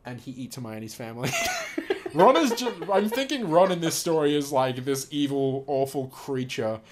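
Giggly guy reading a story out loud, voice all over the place, swears, cant believe it. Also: medium pitch, expressive, normal speed, noisy background. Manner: giggly, laughs through his reading; sweary, incredulous. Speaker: host reading a story aloud. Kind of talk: casual reading with amused commentary.

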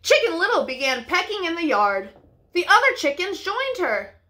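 A woman's voice: extremely high pitch, normal speed, slight background noise. A woman in her twenties reading a children's picture book expressively as a storytime host. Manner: animated, clearly paced for children. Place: living room, indoors.

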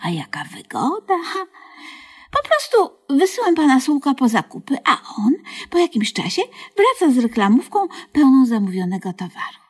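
A naive older woman in a comedy radio play, high-voiced and very animated. She fusses and gushes devotedly, hesitating and coaxing as she goes. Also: moderate pace, slightly rough, full character voice. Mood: hopeful musing. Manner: effusive, giggly, hesitant, coaxing.

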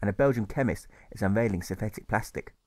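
A man's voice: lowish voice, fast, no echo. Man narrating a rhyming audiobook, dry recording. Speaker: author narrating his audiobook. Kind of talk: scripted rhyming story narration.

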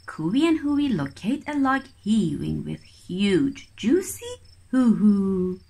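A woman reading a storybook aloud to young children with expressive, deliberate phrasing. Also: medium pitch, creaky. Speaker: children's book reader.

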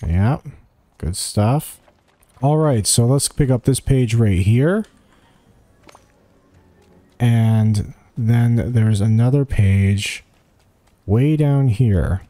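Mellow man narrating a game walkthrough. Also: mid-range voice, drawn-out words, long pauses, dry recording. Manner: thinking aloud, talking to game characters. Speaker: gaming guide host. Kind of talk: casual video game walkthrough commentary. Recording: music behind the voice.

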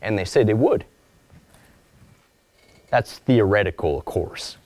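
A man's voice: on the low side, slow pace. A man in his forties giving a casual lecture as an archivist, talking with slides in a lecture room and acting out little asides now and then. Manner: conversational, acts out little quoted asides; calls his own voice monotone. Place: mid-size lecture room, indoors.

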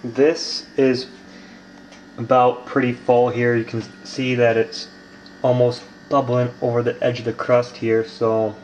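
Guy explaining a recipe, loose and hesitant, mid pitch, echoey kitchen. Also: flat delivery, measured pace, a little mellow. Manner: rambling, lots of ums and restarts. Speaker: home cooking vlogger. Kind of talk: casual cooking demonstration. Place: home kitchen, indoors. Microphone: handheld camera mic. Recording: echoey kitchen, faint noise.

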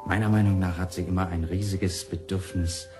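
An actor playing a sympathetic toy manufacturer of about forty in a crime radio play, measured and reflective. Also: male voice, low voice, steady tone, music in the background, close to an everyday voice. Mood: sympathetic, explaining.

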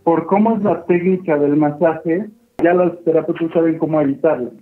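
A young Mexican man handles a customer call courteously and reassuringly. His voice is rough-edged and husky, heard through a dull phone line with faint music. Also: a little high, moderate pace. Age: young adult. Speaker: spa receptionist on the phone. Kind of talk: polite customer service phone talk.

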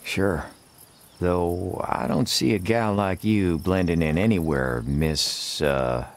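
A man's voice: deep voice, slow. A man playing a cool, rugged gunslinger in a Western video game, wry, laconic and unruffled. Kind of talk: video game cutscene acting. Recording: music under the dialogue.

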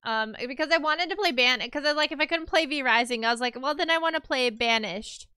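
A woman's voice: sits high, fast, sharp tone, no room sound, studio quality. A woman livestreamer chatting casually with her viewers, a little stuffy from a cold, rambling with fillers and restarts.

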